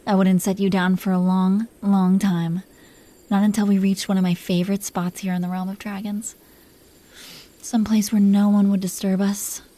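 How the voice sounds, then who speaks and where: Mellow, teasing dragon queen played by a woman. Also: medium pitch, level tone, moderate pace, close to an everyday voice. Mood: wistful, tender. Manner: teasing, coaxing, soft and intimate, dropping into whispers. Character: possessive, teasing, protective dragon queen, tender toward her captive. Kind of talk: intimate roleplay audio drama addressed to the listener.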